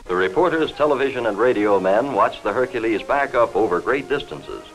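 An American man reading formal, measured, factual scripted narration in the style of a 1950s industrial newsreel, on a vintage film soundtrack. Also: mid-range voice, lively intonation, soft background music, poor audio.

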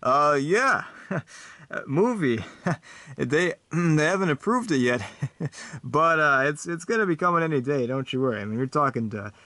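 A man's voice: a little high, lively intonation, measured pace, bright, forceful tone, no room sound. A man voicing a boastful, clueless superhero who rambles and bumbles.

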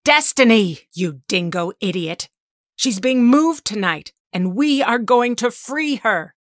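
A woman's voice: a little high, expressive, slow, no room sound, studio quality. A woman playing a bitter, commanding mutant villain leader in an audio drama, curt and scornful. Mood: cold, curt.

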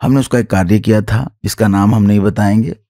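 A man's voice: fairly low pitch, fairly even intonation, fairly quick, mellow, no echo, studio quality. A man speaking as a guru to his followers, emphatic and repetitive, asking rhetorical questions. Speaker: tantric guru. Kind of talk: spoken introduction and explanation to followers.

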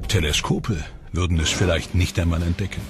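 A man with a very low, deep voice narrates a science documentary in a measured, dramatic way. His reading is scripted and steady. Music plays clearly beneath him. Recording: voice-over with background music.

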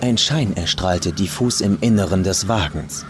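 A man's voice: low voice, a little slow, background noise. A man reading a horror story as a dramatic scripted narration, like a professional audiobook narrator. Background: faint music and atmospheric sounds.

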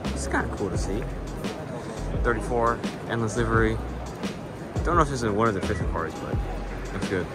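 A man's voice: lowish voice, expressive, fairly quick, long pauses. A man filming himself as he walks a large indoor car show, giving casual, enthusiastic commentary on the builds with light swearing. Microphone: handheld camera, filming point of view. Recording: loud music behind, busy hall.

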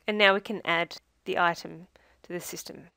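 A woman with a slightly low voice narrates a software demonstration in a measured, instructional way. Her phrases tend to trail down at the ends. The recording is dry and close. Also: fairly even intonation, gravelly, smooth, dry recording. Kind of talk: scripted tutorial narration.

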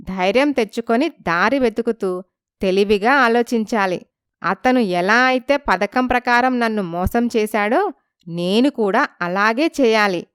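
A woman voicing a wronged young village wife in a story, polite and pleading but quietly determined. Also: on the high side, unhurried, clear, resonant tone, dry recording, clean studio sound, natural voice. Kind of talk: dramatised story acting.

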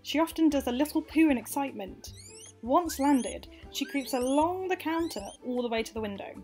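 A woman's voice: high voice, animated, moderate pace, a little mellow, faint music behind. A young woman acting out a wry, frank, confessional monologue as narrator of a radio play. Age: young adult.

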